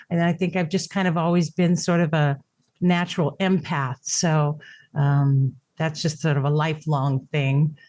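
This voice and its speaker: Woman with a lowish voice, candid storyteller. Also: no room sound. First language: Japanese. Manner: reflective, warm storytelling with frequent fillers and restarts. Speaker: podcast guest, caregiver. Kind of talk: conversational interview.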